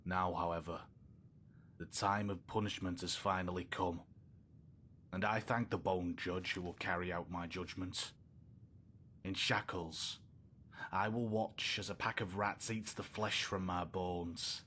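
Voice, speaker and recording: Low, grim, doomed confessing criminal. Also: male voice, fairly even intonation, measured pace, sharp tone, slight background noise. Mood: grim, resigned. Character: doomed, repentant male criminal reading written confession. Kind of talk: dramatic game voice-over of a confession.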